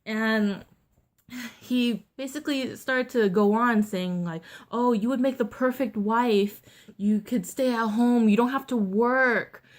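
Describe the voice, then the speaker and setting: A young woman vlogger with a deeper voice than most women, telling a personal story in a candid, blunt, chatty way with lots of fillers. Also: takes it slowly. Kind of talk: casual storytime vlog.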